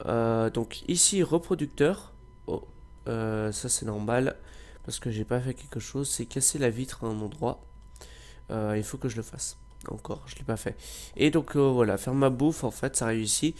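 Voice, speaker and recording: A man's voice: medium pitch, normal speed, a little mellow. A man with a cold giving casual, rambling gameplay commentary, hesitating often with filler sounds. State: has a cold. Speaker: gameplay video creator.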